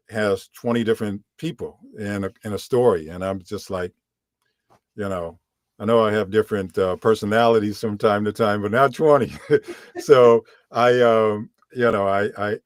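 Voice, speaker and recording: Deep-voiced man, relaxed and rambling. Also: dry recording, clean studio sound. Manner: relaxed, rambling, many fillers. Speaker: audiobook narrator and voiceover coach. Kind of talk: casual interview answers.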